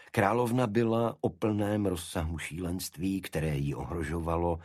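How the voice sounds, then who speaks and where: A man reading a detective story as an audiobook narrator, in a scripted literary style with a husky voice. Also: low-pitched, dry recording, clean studio sound.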